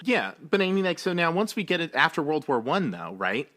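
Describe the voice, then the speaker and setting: A man's voice: fairly high pitch, sing-song, a little fast, a bit bright. A man co-hosting a casual film history discussion, circling around his points with repetitive phrasing. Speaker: podcast co-host.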